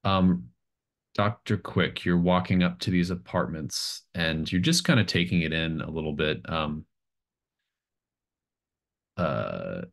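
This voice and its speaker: A middle-aged man improvises scene descriptions as a game master on a video call. His voice is very deep, and he feels his way haltingly through each phrase. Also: lively intonation, gravelly. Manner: halting, searching for words. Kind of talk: improvised tabletop game narration. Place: home room on a video call.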